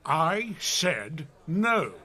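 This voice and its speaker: A man plays a battle-hungry dwarf warrior for a radio comedy. His voice is forceful and fairly high, with slow, weighty, swinging delivery. He is stubborn and earnest. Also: sing-song, slightly rough, exaggerated voice. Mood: solemn, passionate. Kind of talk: comedy radio play acting.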